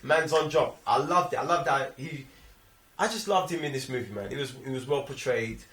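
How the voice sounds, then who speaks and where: A young man with a London accent chatting casually about a film in an animated, slangy way. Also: medium pitch, brisk. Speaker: film review show host. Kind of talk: casual movie review chat. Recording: roomy, distant microphone.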